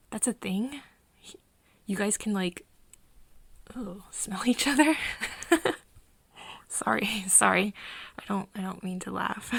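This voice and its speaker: A woman acts out a hesitant, tender young woman in an ASMR roleplay drama. Her breathy voice crackles with fry and often sinks to a whisper. She talks slowly and haltingly, as if to a close friend. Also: medium pitch, dry recording. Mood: amused, questioning. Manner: halting, hesitant, with nervous giggles. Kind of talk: soft ASMR roleplay acting addressed to the listener.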